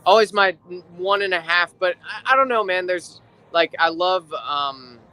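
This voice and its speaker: Bright, clear-voiced man chatting casually. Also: sits high, moderate pace. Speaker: guest, rock drummer and drum teacher. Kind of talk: casual interview chat.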